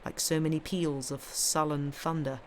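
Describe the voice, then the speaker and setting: A woman's voice: deep voice, little pitch movement, normal speed, a little mellow, a little hiss or hum. A woman hosting a live stream of festive readings from home, speaking into a streaming microphone. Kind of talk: expressive literary reading aloud; casual live-stream chat.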